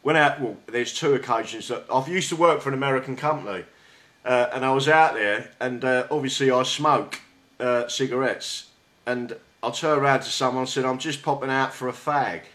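Hesitant South London radio host. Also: male voice, medium pitch, moderate pace, fairly bright tone. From England. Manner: hesitant, with many restarts and stammers. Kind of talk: casual interview chat. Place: radio studio. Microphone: studio mic with big headphones.

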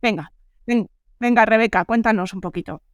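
A woman hosting a casual radio interview, chatty and friendly, often pausing with fillers. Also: on the high side, dry recording, studio quality. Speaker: radio show host.

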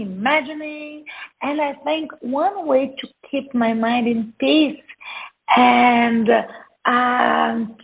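A Brazilian woman in her fifties with a Brazilian Portuguese accent, speaking carefully as a guest, often pausing with "uh" to find her words. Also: a little high, creaky. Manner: careful, hesitant with frequent "uh" pauses. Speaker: guest author. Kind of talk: interview conversation. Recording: phone-like narrow audio.

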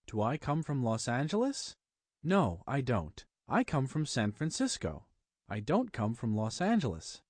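A man's voice: mid-range voice, wildly swooping pitch, unhurried, darkish tone, no echo. An American man co-hosting a radio show in scripted English-teaching dialogue.

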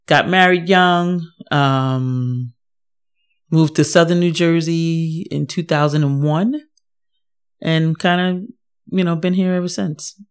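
A woman's voice: sits low, steady tone, slow, clear, resonant tone. A woman in her fifties with a New York accent, telling her life story in a casual podcast chat with many ums. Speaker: guest, host's mother. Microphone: podcast microphone.

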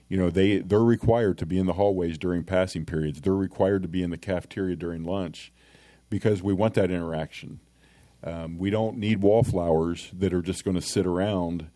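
Deep-voiced middle-aged policeman, plainspoken. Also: warm tone, no echo. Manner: plainspoken, earnest storyteller. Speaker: school resource officer. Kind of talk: casual podcast interview.

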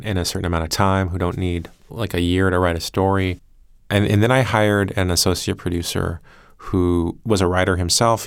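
A man with a deep voice speaks in a dry, close studio sound. He explains his production work in a reflective, thoughtful interview style, feeling his way through phrases. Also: smooth, no echo. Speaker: podcast creator and producer.

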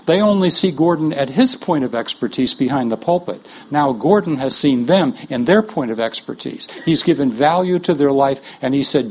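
A man's voice: mid-range voice, a little mellow, husky, low-quality recording. A man leading a workshop for pastors, talking in an earnest, conversational way with joking asides and frequent restarts. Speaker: workshop leader teaching pastors. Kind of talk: conversational teaching talk.

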